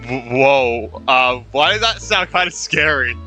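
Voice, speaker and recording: A man's voice: medium pitch, animated, a little slow, bright, forceful tone. A man giving casual, reactive, informal commentary as he streams a video game. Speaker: gaming streamer. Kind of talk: casual let's play commentary. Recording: game music behind.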